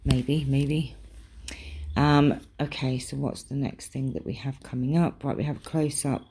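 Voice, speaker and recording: A woman's voice: extremely low pitch, fairly even intonation, unhurried, dark tone, a little hiss or hum. Chatty British woman rambling over craft trims. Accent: British English. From England. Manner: chatty and rambling, with many ums. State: slightly losing her voice, clearing her throat. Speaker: craft vlogger. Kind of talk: casual chat showing craft supplies.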